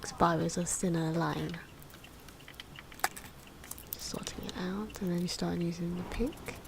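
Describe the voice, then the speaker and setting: A soft-spoken woman giving ASMR drawing commentary, often slipping into a breathy whisper, with hesitant, unhurried phrasing. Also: lowish voice, fairly even intonation. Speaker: ASMR video creator narrating own drawing. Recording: noisy, pencil scratching and ambient sound. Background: pencil and pen noises, outdoor wind.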